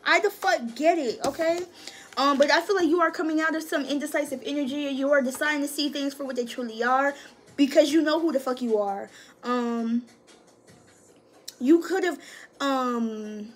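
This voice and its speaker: A woman giving a casual tarot reading in a high voice, swearing freely and talking with slangy emphasis. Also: moderate pace. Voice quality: high-pitched voice. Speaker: tarot reader.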